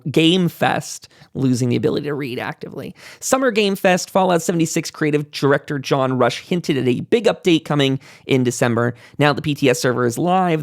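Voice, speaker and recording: A man's voice: a little high, gravelly, no echo, studio quality. A man hosting a gaming roundtable in a chatty, enthusiastic way, rambling off into asides. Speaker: host of a gaming roundtable show. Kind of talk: casual panel discussion.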